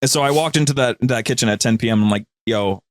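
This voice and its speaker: Podcast guest, young adult male, mid-pitched, dry close recording, loose slangy storyteller with animated delivery. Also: fast, no room sound. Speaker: podcast guest, audio drama creator. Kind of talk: casual interview chat.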